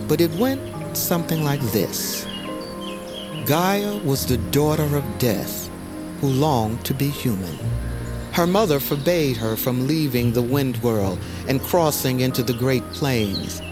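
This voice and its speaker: A man narrating a dark fantasy legend as a dramatic, solemn storyteller with poetic phrasing. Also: mid-range voice. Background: music.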